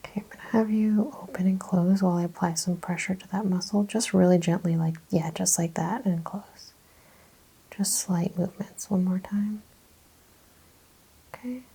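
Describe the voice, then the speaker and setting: A woman speaking softly and breathily, often in a whisper, giving gentle, reassuring instructions as a chiropractor in an ASMR roleplay. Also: fairly low pitch, normal speed, husky, low-quality recording. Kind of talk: ASMR, soft-spoken clinical roleplay exam.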